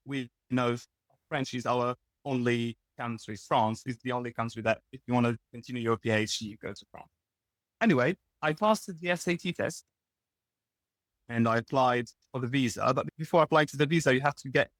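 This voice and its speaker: A man's voice: medium pitch, a bit bright, dry recording. A young Lebanese man, whose first language is Arabic, speaks as an interview guest in a candid way, often restarting and correcting himself. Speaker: guest, pharmacist. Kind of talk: casual interview talk.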